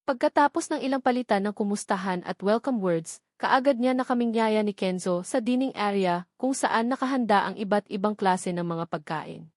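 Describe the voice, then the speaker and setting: A woman's voice: medium pitch, moderate pace, hardly any pauses, darkish tone, no echo, clean studio sound. A woman reading a scripted first-person novel as a story narrator. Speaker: audiobook narrator.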